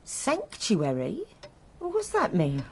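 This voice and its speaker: An actress playing an evasive working-class English woman posing as a bereaved sister in a radio drama, fussy, halting and over-apologetic. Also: medium pitch, huge pitch swings, slightly rough, heavily acted. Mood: fishing for clues.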